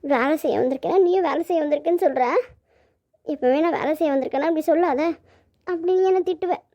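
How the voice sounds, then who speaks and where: A woman's voice: high-pitched, fast, dark tone. A woman playing a naive, lovelorn young daughter in a family melodrama, plaintive and girlish. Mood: puzzled, hurt. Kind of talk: melodramatic family audio drama acting. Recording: dull lo-fi audio.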